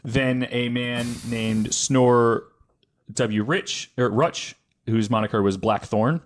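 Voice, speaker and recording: A man with an average-pitched voice hosts a podcast, telling a story from his notes. He talks casually and haltingly, stopping to correct himself and work out tricky names. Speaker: podcast host.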